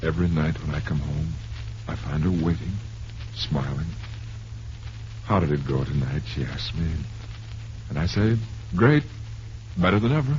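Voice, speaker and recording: An actor playing a desperate down-and-out performer in an old-time radio drama, pleading earnestly and haltingly. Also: male voice, very deep voice, animated, darkish tone. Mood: sorrowful. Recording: muffled, hissy old radio recording.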